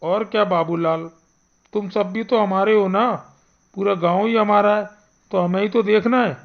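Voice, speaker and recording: A performer voicing an earnest, protective male village headman in an animated Hindi story, speaking emphatically. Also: mid-range voice, moderate pace, dull audio, close to an everyday voice. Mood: proud, kindly. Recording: music behind the voice.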